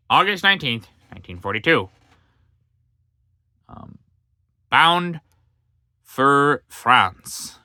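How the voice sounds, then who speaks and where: Brief: man reading an old history book aloud, lively bright voice, halting with fillers, dry close mic. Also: medium pitch, no room sound. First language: English. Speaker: history book reader and commentator.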